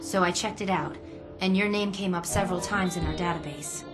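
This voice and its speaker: An actress playing an earnest, anxious young office employee turned informant in a video game drama, polite and hesitant. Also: female voice, on the low side, brisk, close to an everyday voice. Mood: earnest, uneasy. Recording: music playing behind the voice.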